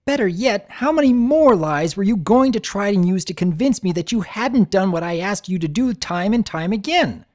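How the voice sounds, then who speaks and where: A woman's voice: medium pitch, lively intonation, moderate pace, few pauses, darkish tone, voice pushed up, lightly acted. A woman voicing a stern young female pony who lectures indignantly. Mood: angry.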